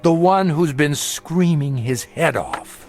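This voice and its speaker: Indignant, high-pitched male traveller. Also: expressive, slow, darkish tone, faint music behind. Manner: argumentative, sardonic, theatrical emphasis. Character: sick, suspicious, indignant male traveller, defiant and sarcastic. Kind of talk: dramatic television-style acting.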